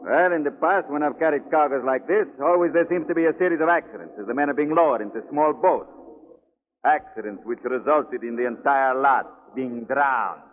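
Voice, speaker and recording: A man's voice: medium pitch, brisk, narrow, tinny audio, natural voice. An actor playing a ruthless, gloating smuggler sea captain with sneering tough-guy slang, in 1940s radio drama style. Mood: smug, gloating. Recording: thin, noisy 1940s radio recording.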